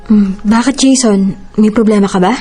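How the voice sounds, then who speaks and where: A woman's voice: medium pitch, moderate pace, warm tone, close to an everyday voice. A woman playing a polite, dutiful young Filipino daughter and elder sister in a Tagalog radio drama. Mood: puzzled, concerned. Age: young adult. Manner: polite, earnest.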